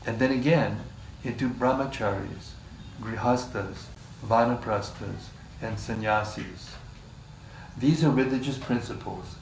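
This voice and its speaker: A man leading a scripture class, reading passages in a measured way and joking with the group between them. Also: medium pitch. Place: room with a live group. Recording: echoey room, distant mic, faint hiss.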